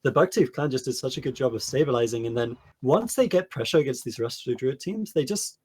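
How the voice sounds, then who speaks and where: A man's voice: mid-range voice, lively intonation, a little fast, darkish tone, husky. A man casting a live esports stream, enthusiastically thanking donors while his voice is tiring. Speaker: esports caster.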